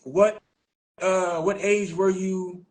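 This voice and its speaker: An American man hosts a casual podcast over a live-stream call. He talks in a loose, slangy, easygoing way, leaning on fillers and repeats, and his voice sits a little above the usual male pitch. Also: fairly even intonation, slow, a little mellow. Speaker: podcast host. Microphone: remote live-stream call.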